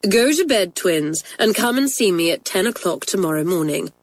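A grave middle-aged headmistress from a children's audiobook, played by a woman narrator. Her voice is deep, measured and authoritative. The recording is dry and close. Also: animated, no echo. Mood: calm, firm. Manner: serious, measured.

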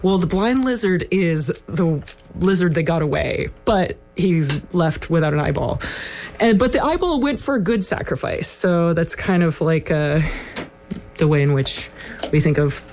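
A woman with a low-pitched voice talks loosely about her band, filling pauses with "um" and "like" as she goes. A little music hums faintly in the background. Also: animated, darkish tone. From Ukraine. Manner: rambling, many fillers like "like" and "um". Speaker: band member. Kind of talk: casual on-air chat.

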